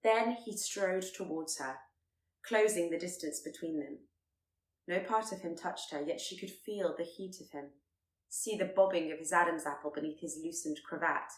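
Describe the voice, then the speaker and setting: A woman reading literary fiction aloud as an audiobook narrator, with expressive delivery. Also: on the low side, moderate pace, roomy sound, poor audio.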